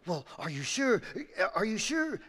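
A man's voice: high voice, very animated, measured pace, fairly bright tone, pitched above the performer's own voice, exaggerated voice. A man voicing a desperate, greedy farmer who pleads and screams insults. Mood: anxious. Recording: long hall reverb.

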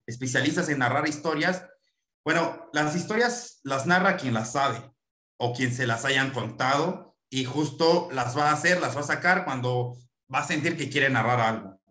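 A man's voice: on the high side, pushed and bright. A man in his thirties from Mexico, answering questions in an online lecture on a video call, earnest and thoughtful with many fillers. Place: small room at home, window behind. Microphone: laptop webcam video call. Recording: echoey room on a video call.

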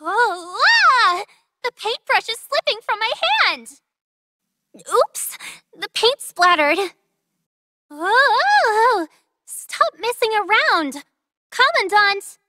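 Bubbly young artist heroine, video game character, very high voice, unhurried, coy and teasing. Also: female voice, very animated, bright, forceful tone, no echo, clean studio sound, heavily acted. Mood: flustered.

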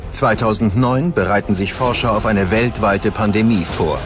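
Low-voiced male documentary narrator over music. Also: normal speed. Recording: music behind the voice.

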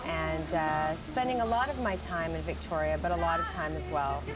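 A young singer from Canada and Portugal speaks in a low, earnest voice. She pauses often with fillers as she thinks. The mic sits back from her outdoors, with loud music underneath. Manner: thoughtful, earnest, with many fillers. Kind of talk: interview. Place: outdoors by a harbor railing. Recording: distant mic with music behind.

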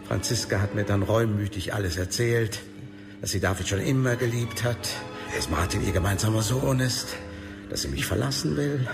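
Gravelly, menacing professor villain. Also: male voice, fairly low pitch. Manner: menacing, sardonic, deliberate. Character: cold, sadistic professor, a jealous murderer. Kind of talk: radio crime drama acting. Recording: long reverb, music underneath.

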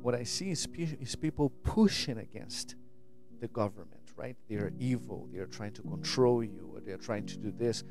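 A man's voice: mid-range voice, moderate pace, over music. A man in his forties, born and raised in Brazil, giving an earnest, searching monologue to camera in his home studio on a stand mic, often restarting and checking in with "right?". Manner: earnest, searching, many restarts and repeated "right?", gestures a lot. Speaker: spiritual vlogger. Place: home studio with guitars and purple lights. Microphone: studio mic on a stand, headphones.

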